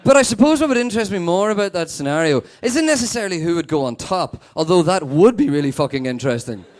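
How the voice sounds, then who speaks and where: A young man from Northern Ireland with a Northern Irish accent, performing a stand-up set with a sweary, deadpan manner. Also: high-pitched, quick pace. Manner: sweary, animated, deadpan asides. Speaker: stand-up comedian.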